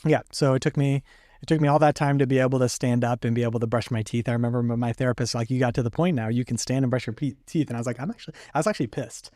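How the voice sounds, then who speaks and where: A man's voice: medium pitch, rapid-fire, warm tone, no echo, clean studio sound. A young man, a podcast guest, telling his story candidly with many fillers and some laughs. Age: young adult. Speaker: podcast guest, stroke survivor. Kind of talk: casual conversation.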